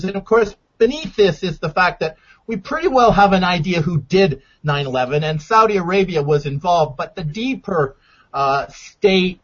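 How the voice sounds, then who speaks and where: A male radio host speaks in a measured, deliberate way as he introduces his guest and the topics. His voice is somewhat high for a man. The sound is dull and lo-fi. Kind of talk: radio interview.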